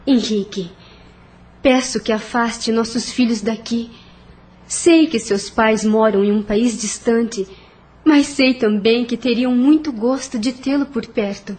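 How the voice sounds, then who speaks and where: A woman in an audio novel playing the gentle, caring spirit of a dead wife and mother. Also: on the high side, takes it slowly, distant mic. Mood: pleading, caring. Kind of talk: audio novel dramatic acting.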